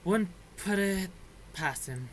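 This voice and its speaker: Bright-voiced, unhurried man commenting on gameplay. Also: sits high, expressive, a little hiss or hum. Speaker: gaming streamer. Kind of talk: casual reaction commentary over gameplay.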